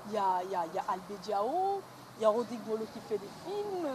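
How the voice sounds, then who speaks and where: A woman's voice: medium pitch, sing-song, quick pace. A young woman with a Congolese accent, answering conversationally as an interviewed film actress, recorded on noisy location sound. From Republic of the Congo.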